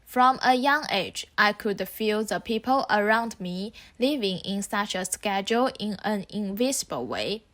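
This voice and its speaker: Calm young woman narrating reflectively. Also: mid-range voice, measured pace, hardly any pauses. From southeast China. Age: 20s. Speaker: narrator of a student film. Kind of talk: scripted documentary narration.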